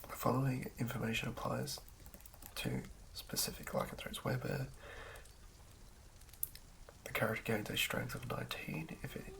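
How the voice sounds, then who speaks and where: A man reading in a hushed, breathy ASMR whisper with a halting, measured delivery. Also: medium pitch, slight background noise, low-quality recording. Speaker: host of an ASMR channel. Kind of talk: ASMR reading of game rulebook.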